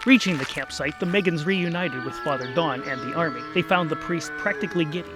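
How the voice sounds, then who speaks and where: A man's voice: fairly high pitch, fairly quick, music in the background. A man reading scripted narration for a comic fantasy story as its narrator.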